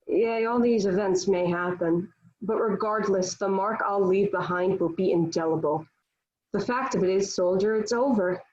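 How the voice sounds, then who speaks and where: Actress as young female prisoner, defiant, devout, declamatory, historical drama, mid pitch, slightly dull recording. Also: normal speed, a little mellow, natural voice. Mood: proud, resolute. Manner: earnest, declamatory. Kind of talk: dramatic table read of a historical play.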